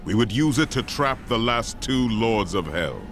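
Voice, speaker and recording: An actor playing a fallen angel turned mortal in a video game cutscene, stern and measured. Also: male voice, fairly low pitch, very animated, over music.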